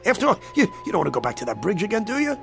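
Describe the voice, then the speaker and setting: A man's voice: high voice, wildly swooping pitch, rapid-fire, creaky, full character voice. An older man acting in a radio drama as a sly, gleeful devil posing as a crazy old man, with a wheedling, mock-polite manner. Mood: teasing, goading. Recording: music under the voice.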